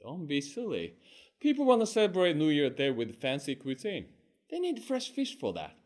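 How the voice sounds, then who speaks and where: A man playing a sheepish, hard-drinking fishmonger in a one-performer comic tale, talking in an excitable, pleading way. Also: fairly high pitch, moderate pace. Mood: contented. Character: sheepish drunken fishmonger husband.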